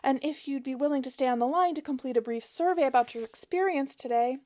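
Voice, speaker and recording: A woman voicing a female customer service representative with a high-pitched, syrupy sweet, trained phone manner. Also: fast talker, a little mellow, lightly acted. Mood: polite.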